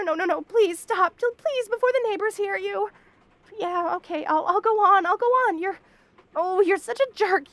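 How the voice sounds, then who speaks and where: A woman's voice: extremely high pitch, a bit bright, no echo, heavily acted. A woman voicing a shy young bunny girl roommate in a romantic comedy audio roleplay, stammering and protesting in a flustered way. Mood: mortified, pleading.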